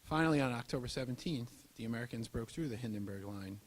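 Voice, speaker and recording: A male narrator delivers scripted history in a mid-pitched voice. His delivery is formal and measured, as in a documentary presentation. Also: low-quality recording.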